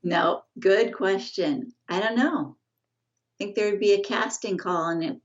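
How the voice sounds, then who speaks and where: A middle-aged woman talking as an interview guest over a video call, halting and full of restarts. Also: medium pitch, crowd noise. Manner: halting, many restarts and fillers. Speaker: guest, family estate representative. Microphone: video call, earbuds. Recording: dull lo-fi video call audio.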